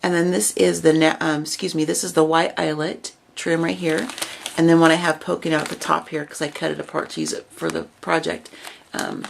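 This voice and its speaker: A woman's voice: low voice, flat delivery, a little fast, gravelly. A woman with a crackly voice from a starting cold, chatting casually with many ums while showing off a craft project. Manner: chatty, many ums, points out details step by step. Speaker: craft video host. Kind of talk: casual show-and-tell craft video.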